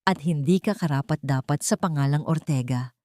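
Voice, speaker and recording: A woman's voice: low-pitched, normal speed, warm tone, no room sound, studio quality, lightly acted. A woman voicing a betrayed, anguished wealthy man in an audio drama, stern and accusing. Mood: cold disgust.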